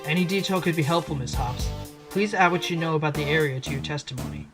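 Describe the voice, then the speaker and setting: Man acting a wry higher-voiced fox attorney. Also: sing-song, fast, over music. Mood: probing. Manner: wry, dramatic courtroom delivery. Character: wry, earnest young fox defense attorney. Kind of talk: fan audio drama acting.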